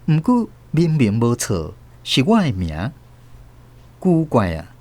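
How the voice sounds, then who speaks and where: An actor playing an earnest nephew who narrates family memories in a radio drama, with a Taiwanese accent. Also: male voice, mid-range voice, wildly swooping pitch, takes it slowly, a little mellow. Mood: puzzled. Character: earnest nephew recalling his family's sorrows.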